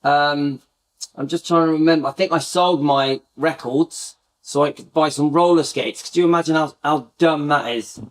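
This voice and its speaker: A man's voice: a little high, normal speed. A middle-aged man hosting a casual live fan chat, talking to viewers in a rambling, reminiscing way with many restarts. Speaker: fan channel host.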